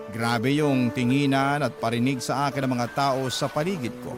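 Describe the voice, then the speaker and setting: Male storyteller with music clearly behind. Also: on the high side. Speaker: radio storyteller reading a letter sender's story. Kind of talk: dramatic scripted first-person narration.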